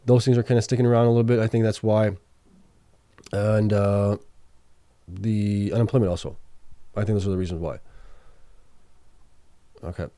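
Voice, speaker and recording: A man's voice: lowish voice, fairly even intonation, moderate pace, pauses often, warm tone, no room sound. A man hosting a late-night livestream, talking through financial results and viewer questions. He thinks aloud, with many fillers and self-corrections, and is starting to lose his voice. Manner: reads out viewer chat questions. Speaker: livestream host. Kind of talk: casual livestream chat reading financial results.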